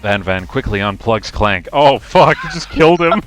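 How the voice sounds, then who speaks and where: A man's voice: mid-range voice, big pitch swings, moderate pace, fairly bright tone. A man narrating a game as a live streamer, reading aloud with casual, sweary reactions. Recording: faint music behind.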